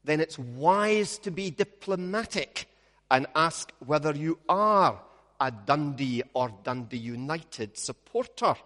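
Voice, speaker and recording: A Scottish man preaches in a slow, measured way, with a fairly high voice for a man. He weaves light humor into his stories. His voice rings out with a long reverb, as in a large church. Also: lively intonation. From Scotland. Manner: measured, storytelling with gentle humor. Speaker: minister. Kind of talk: sermon with opening prayer.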